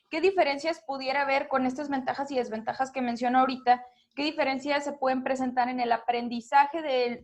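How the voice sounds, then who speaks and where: A woman interviewing a guest, asking polite questions and rephrasing them as she goes. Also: high voice.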